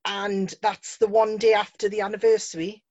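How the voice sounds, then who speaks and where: A woman interviewing a songwriter, chatty and lively when she quotes herself. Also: medium pitch, normal speed, no room sound, highs cut off. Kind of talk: casual chat.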